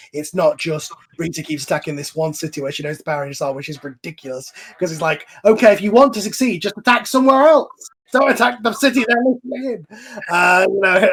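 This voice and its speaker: A man's voice: a little high, creaky, fairly bright tone. A man hosting a casual discussion over an online voice call, explaining things in a rambling way as a show's creator. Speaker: host and series creator.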